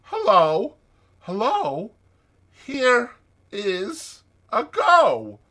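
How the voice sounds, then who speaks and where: A man's voice: high voice, wildly swooping pitch, very slow, bright, forceful tone, voice pushed up, exaggerated voice. A man voicing a hearty, good-natured, capable water rat in a dramatized children's storybook, with an emphatic, jaunty manner. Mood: brisk.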